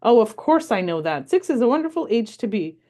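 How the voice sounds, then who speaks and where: A woman voicing a wise, patient old grandmother in a read-aloud story, gently telling her granddaughter about her life. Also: a little high, big pitch swings, brisk, pitched above the performer's own voice. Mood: fond.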